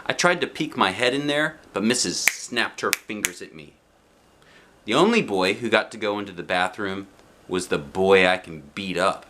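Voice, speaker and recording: A man reading a children's storybook aloud in an expressive narrating style with short, simple phrases. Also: fairly low pitch, moderate pace, a little hiss or hum. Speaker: storybook reader.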